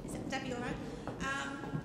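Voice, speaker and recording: Squeaky-voiced woman in an echoey hall. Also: little pitch movement, a little fast, vocal fry. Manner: self-deprecating, enthusiastic, with frequent ums. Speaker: conference speaker, business librarian. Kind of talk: conference presentation. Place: large lecture hall. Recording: echoey hall, faint noise.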